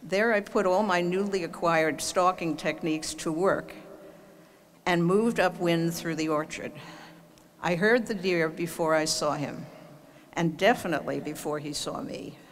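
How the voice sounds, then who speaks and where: An older woman giving a formal commencement speech from an auditorium podium, telling stories from her life in a measured way. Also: on the low side. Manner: measured, anecdotal storytelling. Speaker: commencement speaker. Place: large auditorium stage. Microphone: podium mic on stand.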